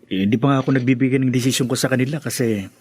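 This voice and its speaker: Man acting a husky, hesitant grown son. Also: medium pitch, very fast, a little mellow, natural voice. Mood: apologetic, cautious. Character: respectful, hesitant grown son, budding local politician. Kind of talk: Tagalog radio drama acting.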